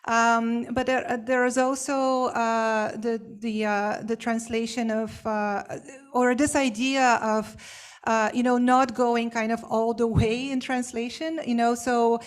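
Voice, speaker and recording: A woman's voice: on the high side, level tone, measured pace, clean tone. A woman whose first language is Bulgarian, answering a question as a symposium panelist in a hesitant, explanatory way with many fillers. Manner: explanatory and academic.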